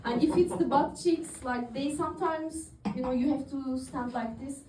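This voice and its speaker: A woman's voice: sits high, moderate pace, a little mellow, recorded from a distance, a little hiss or hum. A woman in her thirties from Turkey doing open-mic stand-up comedy, chatty and laughing at her own jokes. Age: 30s. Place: comedy club stage, audience in the room. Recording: echoey room recorded from afar.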